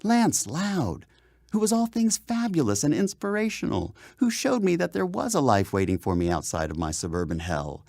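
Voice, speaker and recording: A man's voice: a little high, wildly swooping pitch, normal speed, vocal fry, darkish tone, dry recording. A man reading his own memoir aloud as a dramatic first-person narration, with theatrical, emphatic phrasing, close on a studio microphone. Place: voiceover studio.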